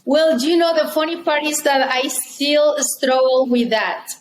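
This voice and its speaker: A woman's voice: high voice, unhurried. A woman in her forties from Mexico with a Mexican Spanish accent, a native Spanish speaker, talking earnestly as a guest in a casual interview. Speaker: guest, real estate agent. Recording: echoey room with faint noise.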